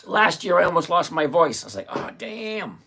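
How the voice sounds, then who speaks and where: A man hosting a live stream, talking through his options trades out loud and answering viewers by name in a chatty way from a home desk full of screens. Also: sits high, very animated, normal speed, gravelly, a little hiss or hum. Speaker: livestream host and options trader. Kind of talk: live-stream trading commentary, reading chat aloud.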